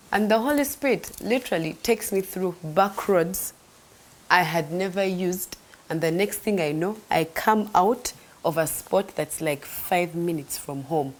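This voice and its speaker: A young woman, telling a faith testimony in an earnest, animated way that sometimes drops to a soft near-whisper. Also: on the low side. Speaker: host sharing a faith testimony. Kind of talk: devotional storytelling.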